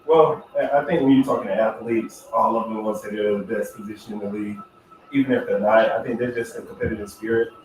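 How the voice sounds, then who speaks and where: Echoey distant football player. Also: male voice, on the low side, fairly even intonation, fast, slight background noise. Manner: reflective, uses motivational metaphors. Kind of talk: press conference answers. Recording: echoey room, far from mic.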